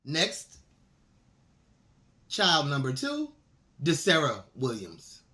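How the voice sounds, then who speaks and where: A man's voice: on the high side, wildly swooping pitch, very slow, sharp tone. A man hosting a showcase, announcing and thanking the readers. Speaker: event host.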